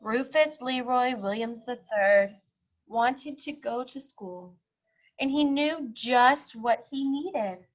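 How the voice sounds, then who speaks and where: A woman's voice: fairly high pitch, slow pace, dull audio. A woman teacher reading a picture book aloud to young children, expressively, with simple explanatory asides.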